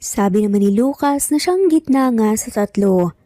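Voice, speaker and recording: A woman's voice: on the high side, animated, moderate pace, dark tone, no echo, clean studio sound, faint music behind. A woman reading scripted narration as the storyteller of a romance story.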